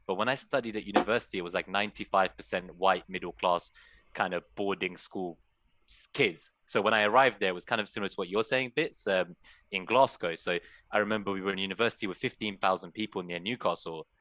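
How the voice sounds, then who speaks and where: Deep, bright-toned young man, earnest. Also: fast talker, dry recording. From Iran. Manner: earnest, reflective. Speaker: guest panelist. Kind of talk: personal storytelling in panel chat.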